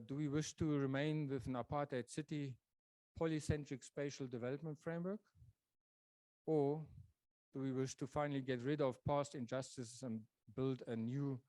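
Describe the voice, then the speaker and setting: A man giving a public lecture presentation, talking in a thoughtful way and pausing often with fillers and restarts. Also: mid-range voice, warm tone, no room sound. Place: lecture venue.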